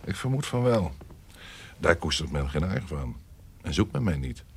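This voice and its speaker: An actor playing a scheming, lecherous courtier in an audio period drama, insinuating and savouring his words, often dropping to hushed tones. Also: very animated, normal speed, a little hiss or hum, heavily acted. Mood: coaxing. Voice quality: deep, gravelly. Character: scheming, lecherous male courtier and secret conspirator. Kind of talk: audio drama acting in Dutch period piece.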